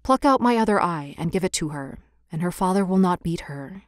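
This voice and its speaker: Woman voicing a solemn, gentle statue prince, lowered voice. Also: animated, fairly quick, no echo, studio quality, close to an everyday voice. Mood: sorrowful.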